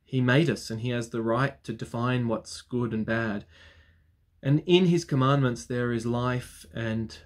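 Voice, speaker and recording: A man in his thirties, a clergyman, talking to viewers on his weekly vlog and leading a solemn spoken prayer from his study. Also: medium pitch. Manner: earnest, solemn, measured prayer cadence. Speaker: Anglican clergyman. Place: study with bookshelves, indoors. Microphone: webcam framing at desk.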